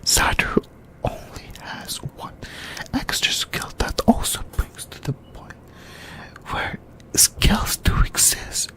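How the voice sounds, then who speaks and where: An ASMR presenter speaks in a hushed, breathy whisper. The delivery is very slow and deliberate, broken into short, halting phrases as it explains things to listeners. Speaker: host of an anime discussion video.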